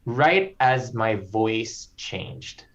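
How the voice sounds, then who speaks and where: Slow, measured man. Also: mid-range voice, lively intonation, fairly bright tone. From Philippines. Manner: slow, measured, reflective with frequent ums. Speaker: composer guest. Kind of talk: interview conversation. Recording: slightly dull lo-fi audio.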